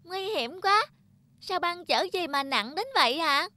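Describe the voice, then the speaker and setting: A woman's voice: extremely high pitch, animated, unhurried, bright, forceful tone, no echo, full character voice. A woman voicing an eager, wide-eyed little star child in a children's cartoon, earnest and full of exclamation. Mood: curious, amazed. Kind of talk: Vietnamese children's cartoon dubbing. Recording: music behind the voice.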